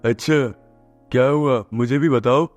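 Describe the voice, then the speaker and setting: Casting: male actor for sensible, cautious husband, average pitch, measured, cartoon tale. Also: a little mellow, faint music behind, close to an everyday voice. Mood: pleased, curious. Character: cautious, principled husband of a poor woman. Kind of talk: Hindi moral-story cartoon dialogue.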